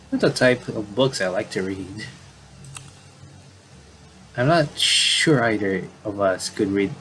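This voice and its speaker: A man doing casual game commentary, reading on-screen dialogue aloud and adding halting asides. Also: on the low side, a bit bright, slight background noise. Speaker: gamer doing playthrough commentary.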